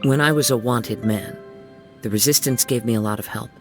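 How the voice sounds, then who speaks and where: A man voicing a gentle young wandering samurai in a video game, soft-spoken and reflective, with lyrical, poetic phrasing. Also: mid-range voice, moderate pace, lightly acted. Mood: gentle, earnest. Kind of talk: video game character voice lines. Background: music.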